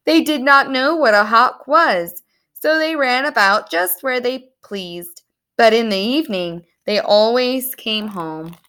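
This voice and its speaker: A woman reading a story aloud to young children in an animated, expressive storytelling manner. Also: on the high side, unhurried. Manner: animated, explains words to young listeners.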